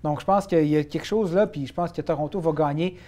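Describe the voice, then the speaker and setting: A man analyses hockey at a quick clip. He makes his points in a measured, analytical way and keeps coming back to them. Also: fairly high pitch, mellow. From Quebec. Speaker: sports talk host. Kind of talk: casual hockey chat.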